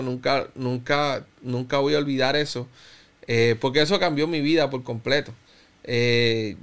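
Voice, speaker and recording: A man in his thirties, born in Cuba, with a Cuban Spanish accent softened by years in Puerto Rico, giving a personal testimony in a halting, reflective way with many fillers and restarts. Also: medium pitch, smooth. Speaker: interview guest.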